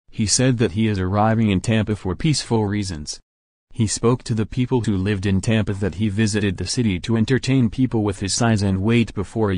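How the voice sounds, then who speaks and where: Low-voiced man reading a formal alert. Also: a little mellow, dry recording, clean studio sound. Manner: measured, impersonal. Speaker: emergency alert announcer. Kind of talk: formal scripted emergency bulletin.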